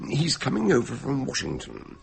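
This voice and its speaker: An actor playing an elderly, eccentric detective doctor in a radio drama, genial and theatrical with a gravelly, husky voice. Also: male voice, medium pitch, wildly swooping pitch, moderate pace, heavily acted. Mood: genial, chatty. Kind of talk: radio drama acting and narration.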